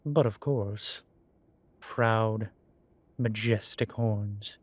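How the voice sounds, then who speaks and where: A man voices a centuries-old demon professor for a roleplay audio. The voice sits on the low side and moves very slowly, in a close, dry recording. He is genteel, droll and proud, explaining things patiently like a seasoned lecturer. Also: no echo. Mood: amused, proud. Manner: unhurried, genteel, gently lecturing. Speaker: professor talking with a student. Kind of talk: scripted roleplay audio, one-sided conversation.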